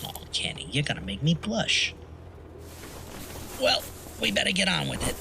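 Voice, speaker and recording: An actor playing a smug, cynical 1940s film critic in a noir audio drama, sneering and needling in period slang. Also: male voice, a little high, very animated, normal speed, creaky, noisy background. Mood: mocking.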